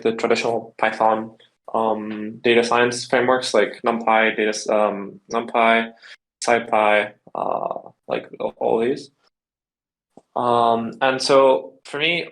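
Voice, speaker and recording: A man's voice: medium pitch, rough recording. A young man from the Czech Republic, answering interview questions in a loose, rambling way with lots of fillers. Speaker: guest.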